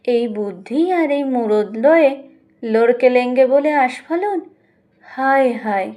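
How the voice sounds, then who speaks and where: A woman's voice: high voice, lively intonation, slow pace, warm tone. A woman voicing a sly, domineering middle-aged widowed matriarch in an audio story, with taunting, mock-innocent exclamations.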